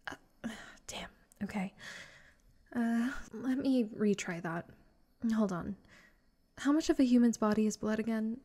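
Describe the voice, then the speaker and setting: A woman's voice: a little high, a little slow, pauses often, warm tone, lightly acted. A woman playing a possessive, lovesick vampire in a comedic roleplay audio drama, sarcastic and theatrical. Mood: flustered, exasperated. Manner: sarcastic and theatrical, exasperated sighs, breathy flirting.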